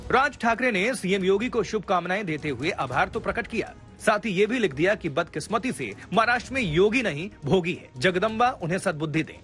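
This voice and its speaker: A male news reporter reads a scripted TV report in a high, forceful voice. His delivery is punchy and dramatic, and music plays behind him. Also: lively intonation, fairly quick, hardly any pauses.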